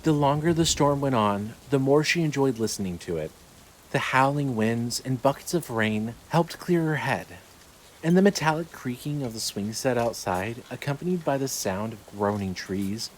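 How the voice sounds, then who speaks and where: A man's voice: mid-range voice, slight background noise, low-quality recording. A man reading scripted narration as the narrator and announcer of a fiction podcast.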